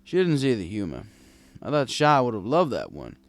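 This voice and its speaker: A man's voice: mid-range voice, huge pitch swings, normal speed. A man reading a first-person story in a wry, conversational storytelling manner. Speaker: fan fiction reader.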